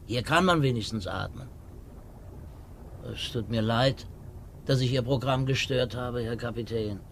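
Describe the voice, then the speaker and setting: A man plays a despairing emigrant in a 1950s radio drama. He speaks at a middling pitch with listless resignation. There is faint noise on the old recording. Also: a little slow, lightly acted. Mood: resigned, despairing. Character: despairing, indifferent male stowaway emigrant who gave up.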